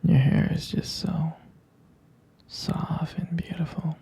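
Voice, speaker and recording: A person whispering intimately and close to the microphone in a romantic ASMR roleplay as a tender, adoring vampire lover, with hesitant pauses. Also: mid-range voice, fairly even intonation, warm tone. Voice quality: breathy, husky whisper. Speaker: ASMR performer playing a loving partner.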